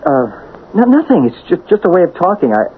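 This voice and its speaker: Animated, hesitant man as a nervous witness. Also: high-pitched, husky, exaggerated voice. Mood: flustered. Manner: halting, stammering, excitable. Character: nervous, chatty, hesitant male witness at a police inquiry. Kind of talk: radio drama acting. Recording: muffled old radio recording, faint hiss.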